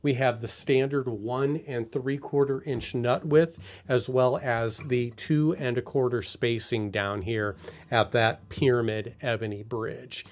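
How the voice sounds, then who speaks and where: A man's voice: medium pitch, fairly even intonation, unhurried. A man hosting a live-stream guitar demo from a guitar shop, talking through each instrument in an upbeat sales patter. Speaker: guitar showcase host. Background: acoustic guitar playing.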